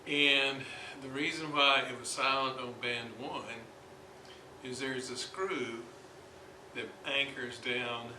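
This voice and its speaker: A man presents a hands-on repair in an informal, halting way, thinking aloud as he goes. His delivery is slow, with long gaps between phrases. The recording is amateur, with clear room echo and plenty of noise behind the voice. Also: medium pitch, steady tone, bright, forceful tone. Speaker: hobbyist radio restorer presenting his repair. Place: indoors at a repair workbench. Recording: roomy, noisy amateur workshop recording.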